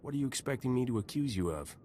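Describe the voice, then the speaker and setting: A man's voice: mid-range voice, animated, fast talker, mellow, slight background noise, lightly acted. An actor playing a composed, firm male hero in a space adventure video game, speaking directly. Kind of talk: dramatic video game dialogue.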